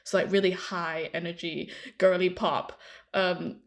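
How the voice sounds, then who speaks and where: A woman's voice: fairly low pitch, level tone, measured pace. A young woman from Hong Kong in casual podcast chat, explaining her thoughts at length. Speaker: podcast co-host. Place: London.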